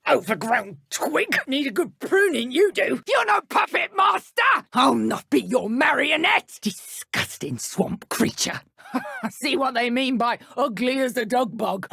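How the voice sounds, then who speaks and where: A woman with a British accent playing an arrogant, menacing dark witch poacher in a video game, with a theatrical, mocking manner. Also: medium pitch, gravelly, breathy edge, dry recording, studio quality, heavily acted. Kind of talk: video game combat voice acting.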